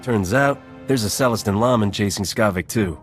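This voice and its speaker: A man's voice: lowish voice, moderate pace, soft background music, close to an everyday voice. A man playing a cocky, wisecracking starship captain and smuggler, wry and glib, in a video game.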